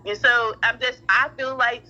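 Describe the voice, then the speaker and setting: A middle-aged woman with a high, very sharp and forceful voice speaks over a muffled phone connection. She is blunt and emphatic, hammering points by repeating them in heated, casual conversation. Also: steady tone, normal speed. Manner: emphatic, repetitive, blunt with profanity. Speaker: parent in a phone discussion. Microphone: phone call. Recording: muffled telephone-like audio.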